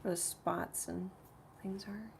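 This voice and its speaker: A woman with a slightly rough voice hosting a casual live painting tutorial, thinking aloud in a rambling way as she works. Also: mid-range voice, fairly even intonation, takes it slowly, background noise. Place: home art studio.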